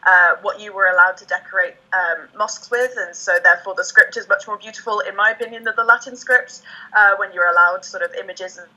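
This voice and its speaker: A woman's voice: medium pitch, steady tone, fairly quick, pushed and bright, dull audio. A young woman from England with an English accent, chatting as a guest on a laptop video call, hesitant with many fillers and restarts. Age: young adult. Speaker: guest, language learner and French teacher. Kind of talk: casual video call chat.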